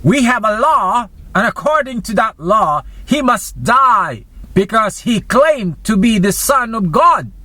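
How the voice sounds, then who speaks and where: Guy doing an angry mocking crowd in a bible drama, voice way up high, slow insistent cries. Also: sing-song, pushed and bright, exaggerated voice. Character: shouting, hostile crowd of accusers and mocking soldiers.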